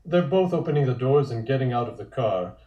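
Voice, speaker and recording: A man's voice: mid-range voice, lively intonation, brisk, dull audio. A man narrating scene directions from a comic script at a table read. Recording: echoey room.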